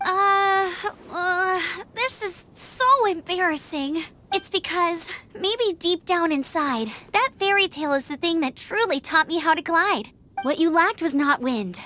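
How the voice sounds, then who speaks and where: A woman playing an eager young female knight and gliding instructor in a video game, earnest, encouraging and energetic. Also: very high-pitched, unhurried, heavily acted. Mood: embarrassed.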